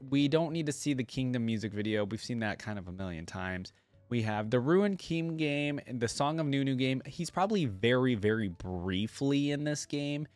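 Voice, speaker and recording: A man's voice: medium pitch, dry recording. A man hosting a reaction video, musing aloud in an easygoing way and reading bits out as he comments.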